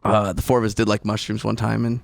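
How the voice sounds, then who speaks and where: Gravelly young man, dry close sound. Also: lowish voice, flat delivery, fast talker, no room sound. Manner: joking storyteller, laughs often. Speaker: podcast co-host. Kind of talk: casual chat.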